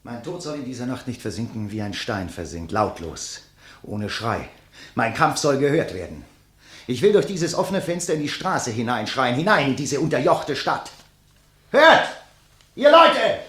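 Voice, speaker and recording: An actor playing a proud, scornful condemned intellectual in a 1950s German radio play, declamatory and contemptuous. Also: male voice, medium pitch, sing-song, moderate pace, a bit bright, husky. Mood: desperate, defiant. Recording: vintage 1959 radio recording.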